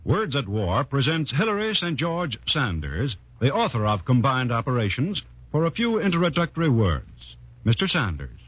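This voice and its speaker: Animated 1940s American radio announcer. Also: male voice, mid-range voice, moderate pace, a little hiss or hum. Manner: formal, declamatory. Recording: muffled 1940s radio, music behind.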